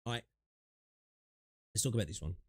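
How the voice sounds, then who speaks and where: A man's voice: fairly low pitch, fairly quick, dry recording. Streamer guy rambling and swearing, bit sniffly, dry close mic. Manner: rambling, swears, many restarts and fillers. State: sniffling from allergies. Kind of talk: casual gaming stream chat.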